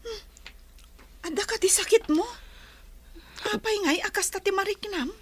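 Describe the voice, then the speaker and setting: A woman's voice: extremely high pitch, moderate pace. An actress playing a concerned, inquisitive female cousin in a radio drama.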